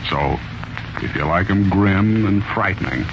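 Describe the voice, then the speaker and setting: A man's voice: low voice, measured pace, noisy background. A man playing a wry army sergeant who hosts a 1940s radio mystery show, with an ominous showman's delivery. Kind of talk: 1940s radio hosting, scripted. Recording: muffled 1940s radio recording with music.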